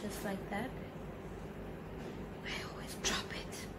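A woman's voice: takes it slowly, whispered. A young woman from Tanzania with a Tanzanian accent, giving a chatty, instructive makeup tutorial indoors. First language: Swahili. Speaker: beauty vlogger. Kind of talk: makeup tutorial, switching between English and Swahili. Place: small indoor filming setup, seated.

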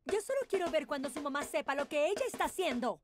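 Dramatic teen cartoon schoolgirl, indignant, earnest, reproachful, very high voice. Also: lively intonation, quick pace, noisy background. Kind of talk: dubbed cartoon acting.

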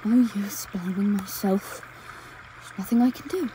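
A woman playing a desperate, tender young woman on the run with her lover in an old-fashioned amateur audio drama, halting and repeating her words. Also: medium pitch, dark tone, natural voice. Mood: quiet, sorrowful. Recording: noisy ambience sound effects behind voice. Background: forest, stream and dungeon ambience effects.

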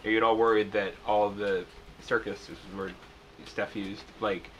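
A man's voice: lowish voice, level tone, normal speed, fairly bright tone, lo-fi sound, slight background noise. A man journalist asking a player a question at a press conference, hesitant and repeating phrases.

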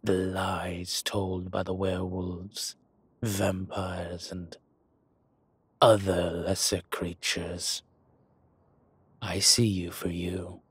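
A very husky, rough-voiced dragon crime lord, acted out by a man, speaks slowly and deep, close and dry. He is suave and arrogant, savoring his words with deliberate pauses in an intimate audio roleplay. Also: little pitch movement, no echo, heavily acted. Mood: persuasive, intimate. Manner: slow, deliberate, pausing, smooth-talking. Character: suave, arrogant male dragon mob boss, older, fond of luxury. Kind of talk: intimate scripted roleplay audio, one-sided conversation.

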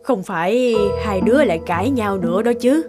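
A woman voicing a caring, shrewd older mother in a cartoon, chatty and gently admonishing, with loud music behind her. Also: mid-range voice, animated, fairly quick, natural voice. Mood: suspicious. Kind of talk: animated cartoon voice acting. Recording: loud background music over voice.

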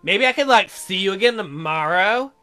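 Man putting on an eager, smitten young woman, high-voiced. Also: animated, moderate pace, bright, forceful tone. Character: eager young woman diver, smitten heroine narrating her romance.

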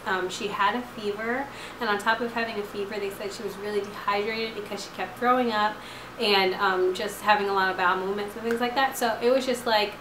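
A woman in her twenties, slightly hoarse from a lost voice, chatting casually to camera from her couch with lots of fillers. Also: medium pitch, echoey room, background noise. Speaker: vlogger. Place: living room couch at night. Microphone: camera at close range.

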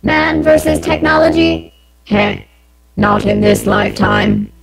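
An actress playing a stoic, menacing robotic female law enforcer in an audio drama, with deadpan, grandiose delivery. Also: extremely low pitch, takes it slowly, gravelly, very noisy, exaggerated voice. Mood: scornful.

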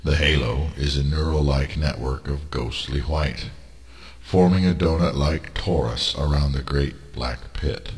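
A man reading a scripted guided meditation as a narrator, in a solemn, deliberate, formal manner. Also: very deep voice. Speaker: narrator of a spiritual training monologue.

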